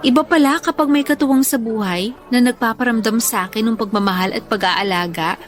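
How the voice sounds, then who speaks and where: A woman acting as a gentle young caregiver daughter in a radio drama. Her voice sits fairly high and she speaks in an earnest, hesitant way. Soft music plays behind her. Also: a little fast, lightly acted. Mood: grateful, tender. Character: tender young woman teacher, tired devoted caregiver daughter.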